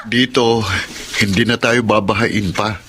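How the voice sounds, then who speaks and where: A man's voice: lowish voice, fairly even intonation, unhurried, background noise, natural voice. A man acting an earnest, dutiful young man with a Filipino accent in a radio drama. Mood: reassuring.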